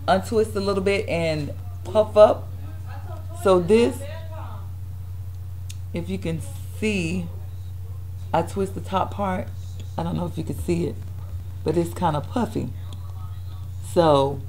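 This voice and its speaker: A young woman, her voice raspy from yelling, chatting casually through a hair tutorial at home. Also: lowish voice, rough recording. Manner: chatty, rambling, with fillers and restarts. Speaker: vlogger. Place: dining room at home. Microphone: webcam at close range.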